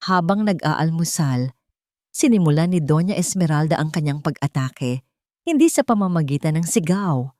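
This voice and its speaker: Female narrator for scripted romance drama, lower register, dry studio-like sound, dramatic delivery. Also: lively intonation, moderate pace, a little mellow, no echo.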